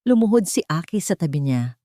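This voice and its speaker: A woman with a Filipino accent reading a scripted story in a measured, sentimental, dramatic way. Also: fairly low pitch, sing-song, warm tone, no room sound, clean studio sound. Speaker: storyteller, narrator.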